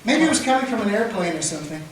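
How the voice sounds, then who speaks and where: A man's voice: sits high, sing-song, racing, background noise. An actor playing a chatty small-town man who rambles on about a strange happening, recorded from a distance in an echoey hall. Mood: puzzled.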